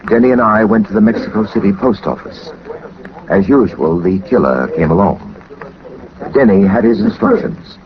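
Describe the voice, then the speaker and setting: A deep-voiced actor playing a gentleman detective, cool and composed, delivers clipped, deliberate lines in 1940s radio style. The recording is muffled, with heavy noise behind him. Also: lively intonation, close to an everyday voice. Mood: grave, tense narration. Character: cool methodical gentleman detective, narrating his adventure. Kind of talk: 1940s radio drama acting and narration.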